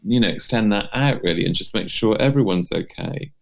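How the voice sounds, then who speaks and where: A man's voice: fairly low pitch, lively intonation, fairly quick, vocal fry. A man co-hosting a podcast, talking in a casual, musing way. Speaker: podcast co-host. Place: remote video chat recording.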